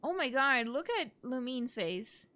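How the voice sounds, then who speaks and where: A woman's voice: sits high, lively intonation, unhurried, pushed and bright. A woman streamer giving casual, rambling reaction commentary while she plays a video game. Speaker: gaming streamer. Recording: game music behind the voice.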